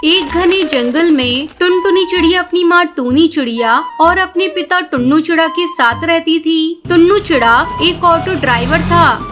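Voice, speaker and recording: A woman's voice: extremely high pitch, a little slow, hardly any pauses. A woman narrating a scripted cartoon story as the storyteller.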